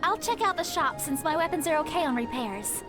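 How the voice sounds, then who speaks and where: A woman's voice: high-pitched, animated, brisk. A woman voicing an earnest, fair-minded young female mage-knight in a fantasy visual novel game. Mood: brisk. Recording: music behind the voice.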